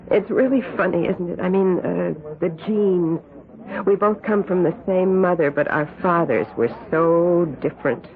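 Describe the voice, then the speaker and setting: Brief: dark, sharp-tongued psychic sister, radio play, thin old sound. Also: female voice, fairly low pitch, unhurried. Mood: brooding. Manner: intense, warning, brooding. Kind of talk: 1970s radio drama acting. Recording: phone-like old radio recording.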